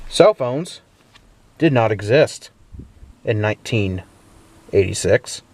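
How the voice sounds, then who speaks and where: A man's voice: lowish voice, lively intonation, takes it slowly, darkish tone. A man giving a playful, theatrical hands-on demonstration with storytelling narration, recorded inside the cab of a truck. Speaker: vlogger demonstrating vintage gadgets. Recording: clear background noise in vehicle cab.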